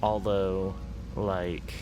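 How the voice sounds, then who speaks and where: A young man playing a playful, chatty boyfriend on a night drive in a soft-spoken, rambling, gently teasing ASMR roleplay. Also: sits low, level tone, very slow, lo-fi sound, background noise. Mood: reminiscing, relaxed. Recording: music under the voice.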